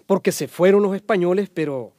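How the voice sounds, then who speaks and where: A man's voice: sits high, fairly quick, warm tone, no echo, clean studio sound, lightly acted. An actor playing a folksy, resigned man who explains things conversationally in radio drama dialogue, repeating words for emphasis. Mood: knowing, resigned.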